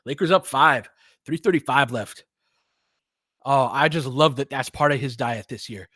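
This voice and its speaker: A man's voice: mid-range voice, normal speed, sharp tone, no room sound, studio quality. A man giving informal, partisan live basketball commentary as a host, reacting openly to the plays like a fan.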